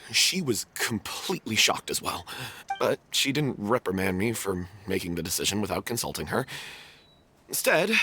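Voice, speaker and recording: Guilt-ridden troupe member, game drama, halting remorseful delivery, swooping pitch, slightly husky. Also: male voice, sharp tone, exaggerated voice. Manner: halting, remorseful, self-blaming. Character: guilt-ridden young male theater troupe member confessing a mistake. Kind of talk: video game voice acting.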